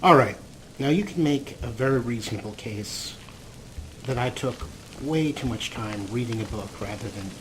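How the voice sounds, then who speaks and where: A man's voice: medium pitch, expressive, moderate pace. A man hosting a let's play with casual, playful game commentary, wordy and wry, with a theatrical streak. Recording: clear background noise, game ambience.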